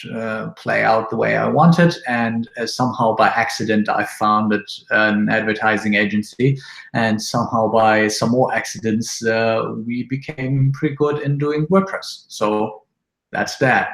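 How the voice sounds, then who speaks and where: A man's voice: on the low side, vocal fry, echoey room, low-quality recording. A young man from northern Germany with a German accent, a guest answering interview questions with lots of fillers and restarts. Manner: animated, halting with many fillers. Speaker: guest, agency CEO. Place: home room with gaming chair.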